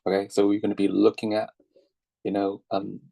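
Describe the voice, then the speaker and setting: A young Thai man gives a relaxed online lecture, his low voice gravelly and husky. He talks in a loose, friendly way, stacking fillers and asking "right?" after his points. The sound is dry and close, as on a computer mic. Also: brisk, no echo, poor audio. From Thailand. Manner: chatty, filler-heavy, checks in with "right?" and "okay?". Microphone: computer mic on video call.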